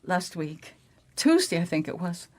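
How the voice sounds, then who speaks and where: An actress playing an anxious, loyal female office employee, reluctant and halting, in a radio drama. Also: fairly low pitch, big pitch swings, darkish tone. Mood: subdued.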